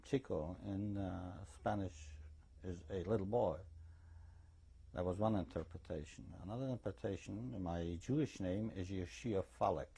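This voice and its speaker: An older man from eastern Czechoslovakia with an Eastern European accent, giving recorded testimony as an interviewee in a deliberate, anecdotal way. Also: sits low, creaky, dark tone, breathy edge. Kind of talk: recorded oral history testimony.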